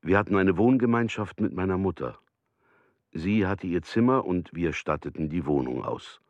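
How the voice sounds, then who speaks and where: An actor playing a formal German merchant in a documentary radio drama, reading out a letter in a measured, matter-of-fact way. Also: male voice, low-pitched, animated, no echo.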